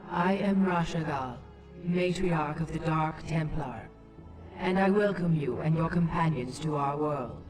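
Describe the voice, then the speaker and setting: Distant, regal ancient alien matriarch. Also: female voice, low voice, unhurried, darkish tone. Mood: solemn welcome. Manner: stately, formal, solemn. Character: very old alien matriarch, female, regal and mournful. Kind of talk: video game voice acting. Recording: distant voice over game music.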